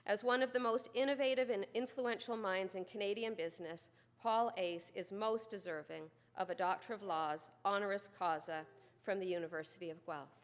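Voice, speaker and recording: A woman dean reading a formal honorary degree citation at a ceremony in a steady, formal way. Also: mid-range voice, normal speed. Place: large ceremony hall. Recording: long hall reverb.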